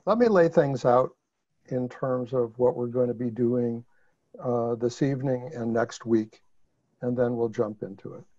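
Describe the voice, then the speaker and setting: A man's voice: mid-range voice, unhurried, warm tone, no room sound. A man with a Chicago accent presenting a webinar over a video call, talking conversationally with fillers and restarts. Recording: muffled video call audio.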